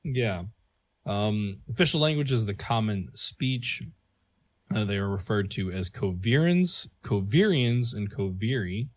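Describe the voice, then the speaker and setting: Low-voiced man rambling through lore notes. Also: lively intonation, unhurried. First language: not English. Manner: chatty, filler-heavy, laughs at own jokes. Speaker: podcast co-host. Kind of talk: casual podcast lore talk.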